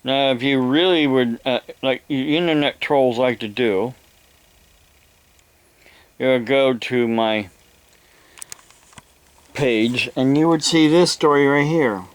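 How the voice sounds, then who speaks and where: An older man with an American accent delivers a rambling monologue, halting and deliberate, often repeating his phrases. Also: medium pitch, smooth, no room sound. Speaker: evangelist vlogger.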